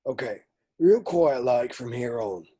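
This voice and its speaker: A man playing a gruff, soft-hearted half-giant gamekeeper in a script read, folksy and protective. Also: medium pitch, expressive, measured pace. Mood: gruff, earnest. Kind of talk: table read of a film script.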